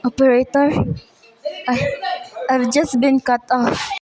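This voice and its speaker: A woman's voice: high voice, flat delivery, slow, a little mellow, poor audio. A woman playing an anxious, demanding invalid wife in a radio play, fretful and insistent. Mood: agitated, urgent.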